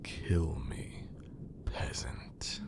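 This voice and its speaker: A man playing a haughty, menacing vampire lord in an ASMR roleplay, with condescending, theatrical speech and a breathy, creaky voice. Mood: menacing, daring. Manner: slow, condescending, theatrical, archaic wording.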